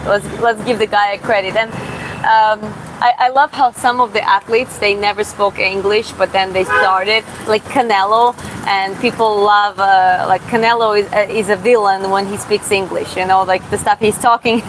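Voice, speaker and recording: A woman from Georgia who streams and boxes, reading viewer comments aloud in a live Q&A and answering casually, often pausing and restarting to find her words. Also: medium pitch. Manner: casual, halting, searches for words with many restarts. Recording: steady background hum.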